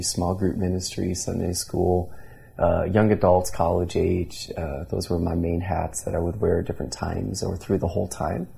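A man in his thirties from Indiana speaks as a pastor being interviewed. His voice sits low with a gravelly edge and a husky, mellow tone. He talks earnestly, restarting his sentences often. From Indiana, USA. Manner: earnest, many restarts and fillers. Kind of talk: conversational interview storytelling.

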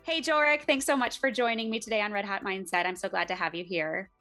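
A woman's voice: mid-range voice, quick pace, bright, forceful tone, faint music behind. A woman hosting a podcast interview, talking in an effusive, enthusiastic way with her guest. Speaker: podcast host.

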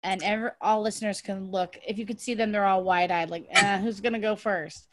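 Animated teasing woman host. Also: mid-range voice, a little fast, background noise. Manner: teasing, animated, laughs often. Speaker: dating coach and host. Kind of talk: casual podcast chat.

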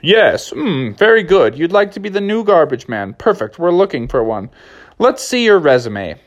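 Man acting town hall clerk, raised pitch, polite, fussy, hesitant, inquisitive, storybook character. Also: very animated, normal speed. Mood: polite, curious. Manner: hesitant, fussy, full of questions. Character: polite, puzzled small-town City Hall hiring official.

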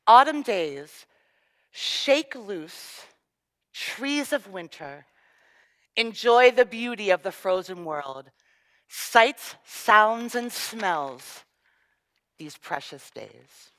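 Casting: woman, slow and easygoing, coaxing the room to join in. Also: medium pitch, lively intonation, a bit bright. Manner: encouraging, playful. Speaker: service leader. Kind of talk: leading a group reading.

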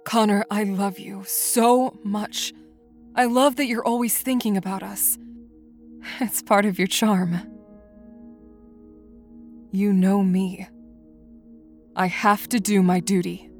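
A woman's voice: mid-range voice, fairly even intonation, slow pace, long pauses, darkish tone, faint music behind. An actress playing a resolute soldier, a wife and mother, speaking in a firm, earnest, loving way in an audio drama. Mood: tender.

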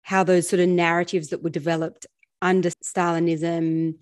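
A woman's voice: on the low side, little pitch movement, normal speed. A woman hosting an interview, politely summarizing what the guest said before asking her next question. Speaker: interviewer, host.

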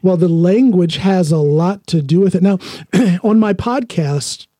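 A man's voice: high voice, unhurried, mellow, dry recording, studio quality. An American man hosting a podcast, chatting casually and bluntly, often laughing as he talks. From United States. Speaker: podcast host.

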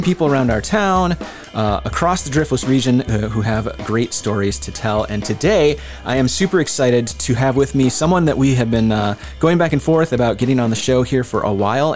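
A man's voice: mid-range voice, brisk, darkish tone, soft background music. A man hosting a podcast, talking enthusiastically with lots of fillers and restarts. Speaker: podcast host. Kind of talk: casual interview hosting.

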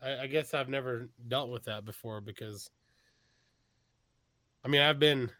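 A man's voice: mid-range voice, unhurried, pushed and bright, dry recording. A man with a Texas accent, chatting casually as a podcast guest, often restarting his sentences. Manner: rambling, frequent restarts, chuckles. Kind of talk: casual chat.

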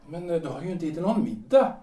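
A husband in his 40s begging his wife to stay, higher voice, stumbles over his words, roomy sound. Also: very animated, warm tone, recorded from a distance. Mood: pleading. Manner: pleading, hesitant, restarting phrases. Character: Gustav, a lawyer and politician pleading with his wife. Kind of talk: audio drama acting. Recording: roomy, off-mic.

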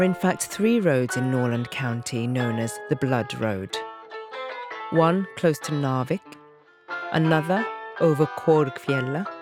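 A narrator giving scripted documentary narration in a measured, sober manner. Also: medium pitch. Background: plucked-string music.

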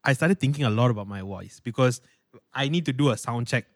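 A man with a baritone voice hosting a podcast, chatting casually in a playful, self-deprecating way. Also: animated, fairly quick, no room sound, clean studio sound. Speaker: podcast host.

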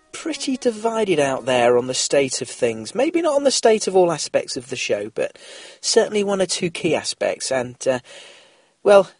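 A man's voice: a little high, no echo. A middle-aged man hosting a casual solo podcast, chatty and rambling, slightly nasal from a cold. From England. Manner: chatty, rambling, frequent fillers and restarts. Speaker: podcast host. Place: small car-based mobile studio.

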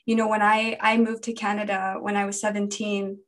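A woman's voice: mid-range voice, little pitch movement, brisk. A young woman from Indonesia, a medical trainee, talking earnestly and fluently about her experiences in an interview. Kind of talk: personal interview talk.

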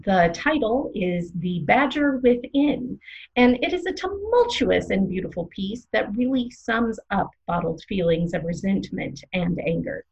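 A woman playing an excitable, opinionated small-town arts radio co-host, theatrical and playfully dramatic in scripted comic banter. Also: mid-range voice, a little slow, creaky, dull audio. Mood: chatty, dramatic.

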